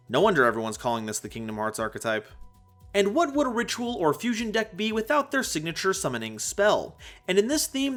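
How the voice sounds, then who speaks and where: Male explainer host, high-pitched and very lively, playful emphasis, music underneath. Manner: animated, playful, emphatic delivery. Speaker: host of a card game analysis video. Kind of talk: scripted explainer narration with jokes. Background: background music.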